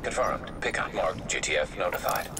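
An actor playing a cool, impassive video game AI assistant that gives clipped status reports. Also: male voice, low-pitched, lively intonation, moderate pace. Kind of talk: video game system announcements. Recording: game audio under loud noise.

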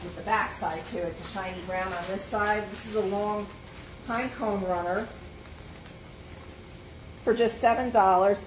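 A middle-aged woman hosting a live antiques sale from a shop booth, chatting casually as she reads out items, sizes and prices. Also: mid-range voice. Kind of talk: live sales stream, casual item pitching. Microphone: phone or camera on a stand, moving around in frame. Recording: roomy shop sound with background noise.

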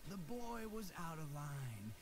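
An actor voicing a swaggering outlaw who taunts and menaces in a slow, sneering drawl. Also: male voice, on the high side, very animated, heavily acted. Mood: taunting. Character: swaggering male outlaw bully, mocking and menacing.

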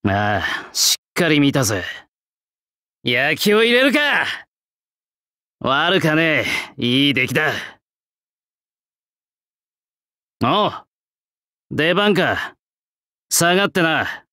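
An actor playing a gruff swordsmith, an old soul in a young man's body, with blunt old-fashioned artisan speech in a game. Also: a little high, sing-song, slow, long pauses, bright, forceful tone, no room sound, clean studio sound, full character voice. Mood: battle-ready, resolute. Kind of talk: Japanese game character voice lines.

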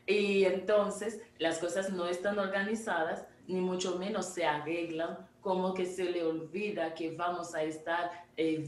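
A woman in her forties from Haiti speaks on a video call from a home studio, set back from the mic in a roomy, echoey space with faint ambient hiss. Her voice sits fairly low for a woman, and she explains earnestly, pausing to restart a word now and then. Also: a little mellow, distant mic. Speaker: image consultant guest. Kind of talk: remote interview over video call. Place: home studio room indoors. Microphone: video call from a laptop camera.

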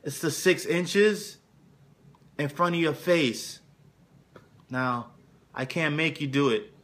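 A man's voice: fairly high pitch, slow pace. A man playing a weary middle-aged football coach giving an intense, dramatic film monologue to his team. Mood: regretful, confiding. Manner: intense, swings from quiet confessing to shouted exhortation. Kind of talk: dramatic film monologue, locker-room speech.

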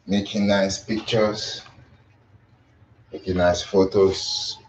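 Deep, slow-talking man in an echoey kitchen. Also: a little hiss or hum. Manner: relaxed, loose, chatting while posing. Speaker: bodybuilding vlogger. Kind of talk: casual chat to live viewers. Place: small home kitchen, indoors. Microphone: phone camera across the room. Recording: roomy, distant, dull phone audio.

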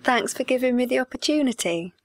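A woman's voice: high-pitched, expressive, a little fast, no echo, studio quality. A woman playing a breezy, callous food CEO. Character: cheerfully callous female food-tube CEO. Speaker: guest. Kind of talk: comedic radio-show parody.

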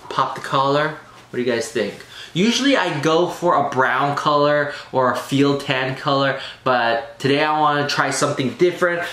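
A man's voice: medium pitch, sharp tone. A man in his thirties giving a casual, upbeat product review to camera, often putting questions to his viewers. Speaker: menswear review vlogger. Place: small bare room at a desk, indoors. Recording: roomy echo with clear background noise.

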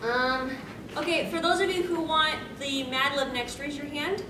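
A woman's voice: high voice, moderate pace, a crowd in the background. A young woman hosting a panel in a casual, giggly, hesitant way, speaking to an audience. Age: young adult. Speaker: panel host. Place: convention meeting room, on a stage. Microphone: distant camera microphone. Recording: distant, echoey, noisy camera recording.

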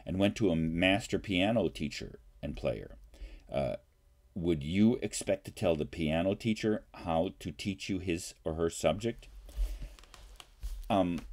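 A man in his sixties, a professor giving an explanatory talk in formal, deliberate, measured phrasing. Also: sits low, dark tone. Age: 60s. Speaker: college professor. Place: small home study, indoors.

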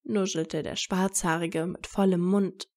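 Slow, mellow woman narrator. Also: lowish voice, fairly even intonation. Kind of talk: scripted story narration.

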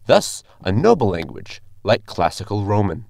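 A man's voice: fairly low pitch, huge pitch swings, moderate pace, gravelly, darkish tone, no echo, clean studio sound, exaggerated voice. An actor playing a polite, dryly logical male android crewman in a formal, precise manner for a sci-fi comedy audio drama. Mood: explaining, dry.

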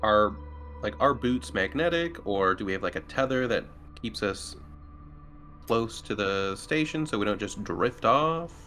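A man's voice: lowish voice, lively intonation, a little slow, a bit bright, soft background music. A man chatting casually as a player in a tabletop game.

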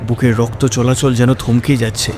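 A man's voice: mid-range voice, level tone, normal speed. A man narrating a scripted first-person horror story in a suspenseful, dramatic delivery. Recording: music behind the voice.

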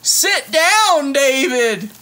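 An American man voicing an exasperated, scolding schoolteacher from a storybook, shouting drawn-out commands. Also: extremely high pitch, very animated, voice pushed up, exaggerated voice. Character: exasperated, scolding elementary school teacher yelling orders.

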